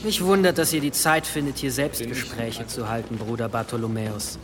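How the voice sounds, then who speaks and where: An actor playing a prim, sly bishop's servant in a radio drama, speaking with pointed formal politeness. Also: male voice, mid-range voice, steady tone, moderate pace, crowd noise, close to an everyday voice. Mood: coolly reproving. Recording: long reverb with music behind.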